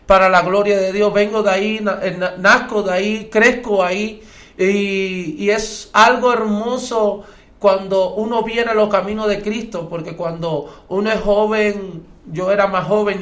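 A man's voice: high voice, a bit bright. Fervent man in an echoey room. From Cuba. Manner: repetitive, rhetorical questions, building fervor. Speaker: church guest giving testimony. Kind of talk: fervent testimony and preaching.

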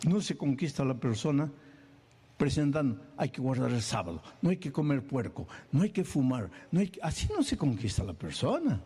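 A man preaching a sermon, animated, acting out little scenes and firing off questions. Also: medium pitch, fairly quick, breathy edge.

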